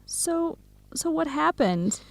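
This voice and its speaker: An actress playing a caring, outspoken young best friend in a radio drama. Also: female voice, sits high, big pitch swings, takes it slowly, creaky, dry recording, full character voice. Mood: curious. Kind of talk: radio drama acting, simulated video call.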